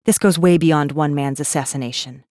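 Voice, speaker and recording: A woman's voice: low voice, normal speed, a little mellow, dry recording, studio quality, lightly acted. An actress playing a guarded, wry rebel messenger, narrating her own story in an intimate, wary, sardonic way for a space fantasy audiobook. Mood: urgent, firm. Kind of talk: first-person dramatic audiobook narration.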